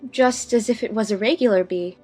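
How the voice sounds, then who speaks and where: Woman as young storybook girl, rather high voice, wondering, polite, a little timid, faint music behind. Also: brisk, a little mellow, close to an everyday voice. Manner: wondering, talks to herself. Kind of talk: dramatized audiobook acting.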